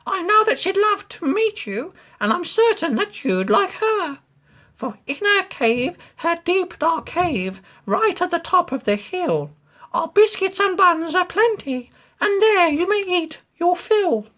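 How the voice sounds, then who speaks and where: Man doing a high falsetto, quacking lady duck. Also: big pitch swings, measured pace, sharp tone, full character voice. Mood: coaxing. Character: plucky, polite female duck. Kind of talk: storybook character voice.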